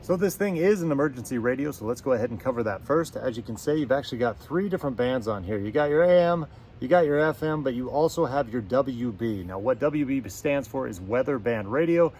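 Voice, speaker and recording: A man's voice: medium pitch, lively intonation, fairly quick, darkish tone, a little hiss or hum. A man giving a casual product review to camera as host, with a folksy, slangy way of talking and playful catchphrases.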